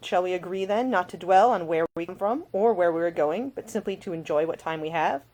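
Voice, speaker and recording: A woman voicing a large, cheery, roguish idle gentleman in an audiobook, with a formal, lightly amused drawl. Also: on the low side, fairly quick, close to an everyday voice. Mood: teasing. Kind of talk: audiobook character dialogue.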